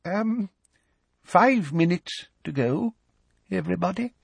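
Man doing a feeble old professor, high and hesitant. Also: expressive, creaky, slightly rough, dry recording, full character voice. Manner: faint, hesitant, slow. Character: feeble elderly male professor invigilating an exam.

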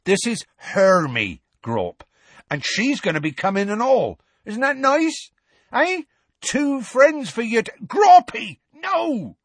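A man voicing a big, gruff half-giant who shouts and coaxes in rustic, colloquial speech for a storybook. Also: high voice, very animated, slow, no room sound, pitched above the performer's own voice, full character voice. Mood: coaxing, presenting.

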